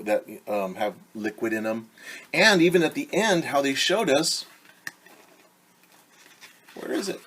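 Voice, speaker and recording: A middle-aged man with a husky, mid-low voice leads a children's story time. He takes his time, reading aloud and then explaining in simple words, repeating key words for young listeners. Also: fairly bright tone. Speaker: teacher reading a picture book aloud. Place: small room at home, indoors.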